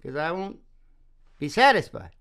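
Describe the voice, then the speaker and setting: A man's voice: a little high, very animated, normal speed, fairly bright tone. A man hosting a casual philosophical chat, talking in a rambling, folksy, preachy way and often checking that he is agreed with. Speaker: host.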